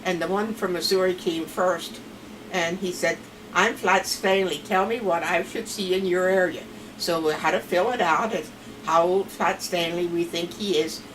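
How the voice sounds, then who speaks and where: A woman's voice: fairly low pitch, fairly even intonation, normal speed. Grandma in her 70s, pennsylvania dutch accent, rambly chatty storyteller, mic far off with noise behind. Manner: chatty, rambling, laughs between stories. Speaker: grandmother being interviewed. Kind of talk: casual family storytelling. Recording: distant mic with clear background noise.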